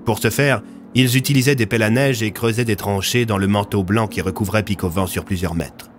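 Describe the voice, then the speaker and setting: A man's voice: fairly low pitch, fairly even intonation, brisk, a little hiss or hum. A man narrating a scripted dramatic story as an audio fiction storyteller. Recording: music under the voice.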